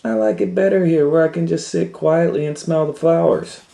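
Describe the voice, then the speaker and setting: A man voicing a mild, contented young bull who loves quiet, simple and earnest, from a children's storybook. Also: a little high, fairly even intonation, normal speed, gravelly, voice pushed up. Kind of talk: storybook character voice. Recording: echoey room, faint noise.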